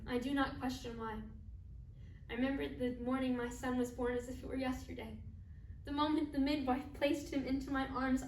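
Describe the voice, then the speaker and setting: A woman's voice: high-pitched, a bit bright. A woman performing a stage monologue as a devout, grieving mother, speaking solemnly in emphatic short phrases. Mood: anguished, loving. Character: grieving, devout, defiant mother of a dead son. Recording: roomy, distant mic, faint noise.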